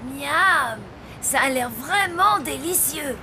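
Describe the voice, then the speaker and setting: A woman's voice: high voice, expressive, slow, bright, forceful tone, a little hiss or hum, exaggerated voice. A woman voicing a plucky, loyal zombie child in a French children's cartoon. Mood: cheerful.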